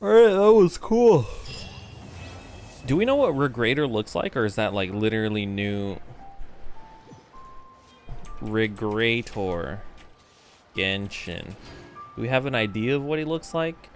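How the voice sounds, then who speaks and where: A man's voice: mid-range voice, animated, a little slow, pauses often, soft background music. A young man giving casual, joking live commentary as a game streamer, with lots of slang.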